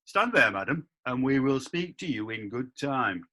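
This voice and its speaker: A man's voice: mid-range voice, big pitch swings, moderate pace, sharp tone. A man playing a curt, authoritative medieval judge presiding over a court. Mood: commanding. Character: brisk, commanding medieval judge. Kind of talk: amateur radio drama.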